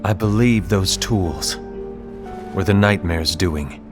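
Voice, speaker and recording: An actor playing a grave, suspicious leader of a fantasy order in a game, speaking solemnly and formally with deliberate phrasing. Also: male voice, low voice, music in the background. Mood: stern. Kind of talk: scripted game cinematic acting.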